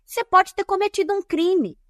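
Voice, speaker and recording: A woman's voice: extremely high pitch, normal speed, fairly bright tone, no echo, studio quality. A woman with a Bahian Brazilian accent, a guest on a podcast interview, explaining diversity and compliance in an emphatic, teaching way. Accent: Bahian Brazilian Portuguese. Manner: emphatic and didactic, lists points.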